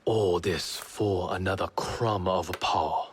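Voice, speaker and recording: An actor playing a resolute, measured samurai lord in a video game, speaking formally and gravely. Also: male voice, low-pitched, fairly even intonation, heavily acted. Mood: grave, accusing. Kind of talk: dramatic video game acting.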